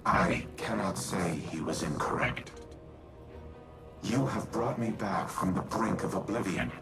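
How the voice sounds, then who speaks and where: A man voicing a stately artificial intelligence in a robot body for a video game, speaking in a formal, measured, solemn way. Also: sits low. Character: Rasputin, stately male war-machine AI in a robot body. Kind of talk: scripted video game dialogue. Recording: loud music behind the voice.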